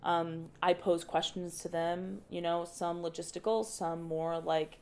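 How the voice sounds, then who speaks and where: A woman's voice: lowish voice, steady tone, a little slow. A young woman, an opera director, talking casually in a podcast interview, thoughtful and often restarting her sentences with light laughs. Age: young adult.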